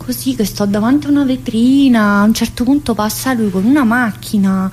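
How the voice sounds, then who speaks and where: A woman's voice: on the high side, a little fast, a little mellow, slight background noise, lightly acted. An actress playing a talkative, needy, restless young mother in prison, in a theatrical monologue with colloquial southern Italian speech and insistent repetitions. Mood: dreamy, insistent. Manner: colloquial dialect, insistent repetitions, addressing a listener. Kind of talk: theatrical monologue, radio drama.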